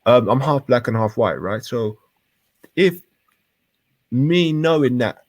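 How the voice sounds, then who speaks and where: A British man in his thirties in a heated debate, speaking in halting, insistent, repetitive bursts with sweary slang. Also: medium pitch, expressive, no echo, clean studio sound. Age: 30s.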